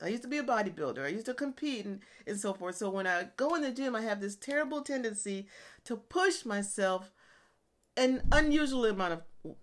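A woman's voice: on the high side, fairly bright tone. Chatty fifties woman, light voice. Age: 50s. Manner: chatty and self-deprecating, laughs often. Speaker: online fitness and nutrition coach. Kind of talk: casual solo podcast talk.

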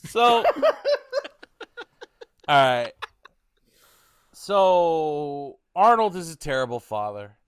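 A man's voice: on the high side, big pitch swings, very slow, pauses often, a bit bright, clean tone, no room sound. A man co-hosting a podcast, cracking crude jokes and laughing often in casual movie banter. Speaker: podcast co-host.

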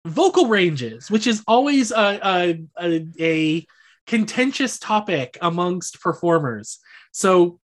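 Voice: mid-range voice, lively intonation, slow pace. A podcast co-host chatting casually about musical theater, hedging and qualifying each point. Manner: hedging, rambling, qualifies every claim.

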